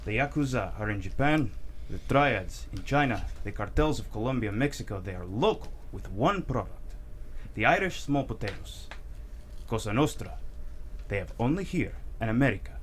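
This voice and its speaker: Young male Russian gangster refugee, acted by a man at a table read. Low voice, calm menace, noisy room tone. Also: natural voice. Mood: confident, persuasive. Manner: calm, measured, quietly menacing.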